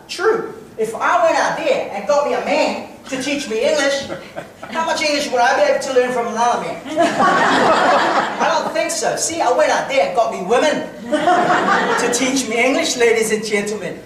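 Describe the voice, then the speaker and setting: A man telling a funny personal story in a humorous speech contest, pausing for laughs. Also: very high voice, expressive. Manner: telling a comic story to an audience with pauses for laughs. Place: large hall, public speaking contest. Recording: echoey hall from far off, noisy. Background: audience laughter.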